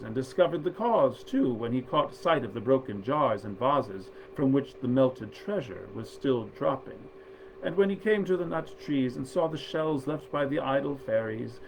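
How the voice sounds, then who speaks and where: A man reading nineteenth-century fairy tales aloud in a measured, expressive storyteller's manner. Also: mid-range voice, a little hiss or hum, poor audio. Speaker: actor reading stories aloud.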